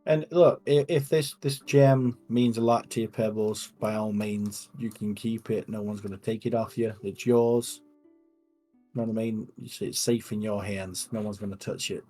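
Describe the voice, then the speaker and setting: Mellow-voiced man in a tabletop roleplay. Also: fairly low pitch, fairly even intonation, moderate pace, faint music behind. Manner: reassuring, earnest. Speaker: tabletop player. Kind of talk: in-character roleplay.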